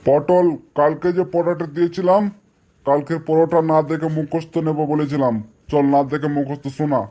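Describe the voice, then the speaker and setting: A man's voice: a little high, level tone, a little fast, mellow, lightly acted. A man voicing a strict, sarcastic village schoolteacher in a comedy cartoon, scolding in colloquial speech with a rural West Bengal accent. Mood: sarcastic, stern.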